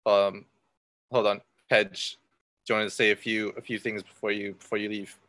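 A young man whose first language is Malay speaks in a fairly low but bright, sharp-edged voice. He is recorded dry and close, and he talks thoughtfully, often pausing to search for the right words. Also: level tone, no room sound. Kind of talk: casual chat.

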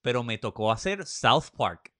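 A man's voice: medium pitch, expressive, a little slow, a bit bright, no echo, clean studio sound. A man with a Puerto Rican accent chatting casually and informally as a guest, using salty slang. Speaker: interview guest. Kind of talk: casual chat.

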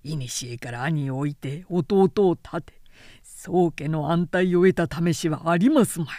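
A woman's voice: low voice, measured pace, warm tone, dry recording, studio quality. A woman voicing a stern, loyal male retainer who remonstrates formally and earnestly with his lord in an audiobook. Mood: grave pleading. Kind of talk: formal audiobook character reading.

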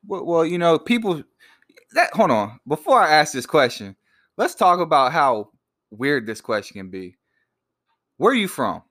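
A man in his late twenties talking loosely on his own podcast, quick to laugh and prone to restarting his sentences. His voice sits a bit high and swings widely in pitch as he jokes and rambles. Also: a bit bright. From born in Georgia, raised in Virginia. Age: 20s. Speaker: podcast co-host. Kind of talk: casual unscripted podcast chat.

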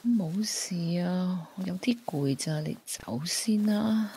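A woman's voice: on the low side, lively intonation, slow pace, mellow, breathy edge, full character voice. An actress with a Hong Kong Cantonese accent playing a fragile, grieving young woman in a radio drama. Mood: weary, withdrawn. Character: fragile grieving young woman, guilt-ridden, pushing suitor away.

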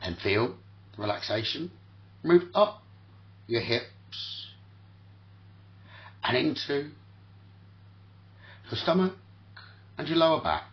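A man leading a guided sleep meditation as host, speaking soothingly in short, repeated phrases with long pauses, on a muffled lo-fi recording. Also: mid-range voice, big pitch swings. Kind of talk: guided sleep meditation, spoken relaxation talkdown.

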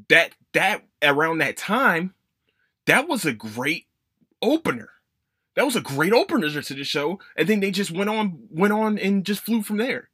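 A man's voice: a little high, bright, forceful tone, breathy edge, no echo, studio quality. A young adult man hosting a casual solo podcast, rambling, swearing and correcting himself as he goes. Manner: rambling, profane, self-correcting. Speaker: podcast host.

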